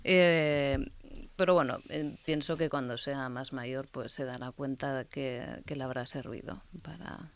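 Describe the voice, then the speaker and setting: A woman's voice: very deep voice, fairly even intonation, a little slow. A woman chatting as a guest, talking freely with many fillers and restarts. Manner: chatty, full of fillers and self-corrections. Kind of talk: casual conversation.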